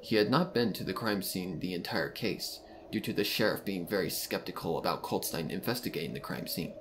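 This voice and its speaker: A man's voice: deep voice, fairly quick, background noise. A man reading a story aloud as narrator, in a dramatic scripted narration style. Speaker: fan fiction narrator. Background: music.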